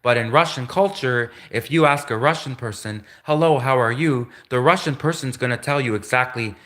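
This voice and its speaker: A man talks casually on his own livestream, his voice at an ordinary middle pitch. He rambles, repeats words and restarts sentences, with animated asides. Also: normal speed. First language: English. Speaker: livestream host.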